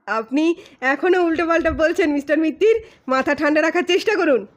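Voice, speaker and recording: A high-pitched voice for an accused male stage magician, acted out by a woman reading an audio story. He is agitated and defiant, arguing back with indignation. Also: fairly quick, lightly acted. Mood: indignant. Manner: indignant, protesting, argumentative.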